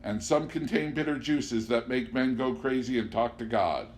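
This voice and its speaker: A man's voice: medium pitch, normal speed, natural voice. A man voicing an eccentric, grandly poetic man in an audiobook, declaiming and drawing out key words. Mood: rapturous. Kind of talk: audiobook character dialogue. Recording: clear background noise with hum.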